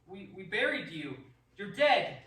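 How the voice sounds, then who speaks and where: An actor playing a haunted, grieving lover in a radio play, halting and distraught. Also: male voice, high voice, sing-song, sharp tone, heavily acted. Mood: fearful anguish. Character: anguished, haunted man grieving his beloved. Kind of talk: amateur radio play acting. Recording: roomy, distant, faintly noisy stage recording.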